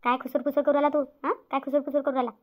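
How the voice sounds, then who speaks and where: A stern, self-pitying village mother-in-law from a melodramatic cartoon, played by a woman, nags in a high voice. She speaks extremely fast with a Vidarbha Marathi accent, piling up reproachful questions, and the sound is slightly dull and lo-fi. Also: little pitch movement, natural voice. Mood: angry, accusing. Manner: nagging, repetitive rhetorical questions. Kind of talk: melodramatic cartoon family drama. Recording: dull lo-fi audio.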